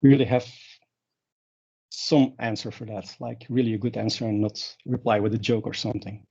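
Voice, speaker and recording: A man's voice: lowish voice, steady tone, normal speed, vocal fry, warm tone, slightly rough. A man from Belgium, giving a conference talk in a rambling way with many fillers and restarts. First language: Dutch. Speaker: conference speaker. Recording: dull lo-fi sound.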